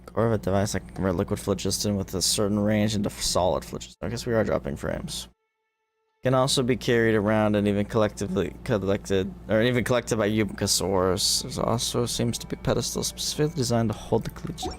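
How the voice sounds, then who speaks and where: A man's voice: lowish voice, steady tone, quick pace, soft background music. A man livestreaming a video game, commenting casually and reading game text aloud.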